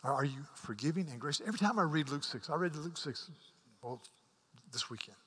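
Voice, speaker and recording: A man's voice: fairly high pitch, lively intonation, fairly quick, breathy edge. Earnest preacher man in a reverberant hall. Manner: earnest, repeats words, rises to emphatic peaks and drops to hushed asides. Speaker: pastor. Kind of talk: sermon.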